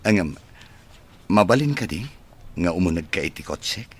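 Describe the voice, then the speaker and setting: A man's voice: low voice, very animated, normal speed, husky, heavily acted. A man playing a rich, cold, arrogant bachelor son in a radio-style drama, curt and scornful in delivery. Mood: coaxing.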